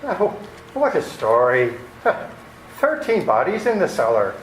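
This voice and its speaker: Blustering radio-drama police lieutenant, male actor, incredulous, wildly swooping pitch, echoey room. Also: wildly swooping pitch, a little slow. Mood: exasperated. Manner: brash, incredulous. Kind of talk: amateur radio play acting. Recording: echoey room with faint noise.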